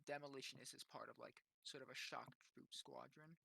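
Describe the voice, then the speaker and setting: A man's voice: mid-range voice, steady tone, fairly quick, vocal fry, sharp tone, breathy edge, dry recording. A man playing a tabletop roleplaying game, improvising casually in a hesitant, tentative, self-deprecating way.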